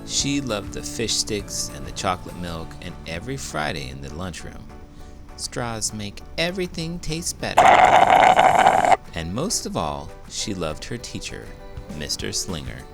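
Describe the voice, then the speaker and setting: A man reading a children's storybook aloud in a theatrical, expressive way, full of big emotion. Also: sits low, moderate pace, creaky, music in the background. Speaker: host, children's book reader. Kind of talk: animated storybook read-aloud.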